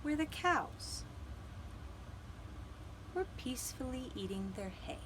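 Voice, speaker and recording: Female children's storyteller, gentle and deliberate, lilting over a wide range, with slight background noise. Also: sits high, very animated. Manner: slow, deliberate, dramatic phrasing with pauses. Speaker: storyteller and puppeteer.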